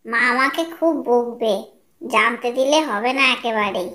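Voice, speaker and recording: A woman's voice: fairly high pitch, unhurried, pushed and bright. A woman voicing a young, mischievous child in a cartoon. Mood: worried, scheming. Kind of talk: cartoon voice acting.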